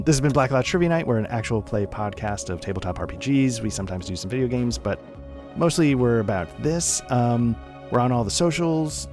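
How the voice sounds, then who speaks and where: A man hosting a tabletop game podcast, rambling casually and laughing often as he wraps up a session from home. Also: fairly low pitch. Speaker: game master and podcast host. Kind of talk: casual post-session chat.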